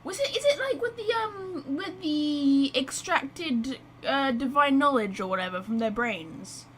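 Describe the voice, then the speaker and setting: A woman gives casual live commentary on a game in a fairly high voice. She rambles bluntly and gets excitable. There is faint noise in the background. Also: unhurried. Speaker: game streamer.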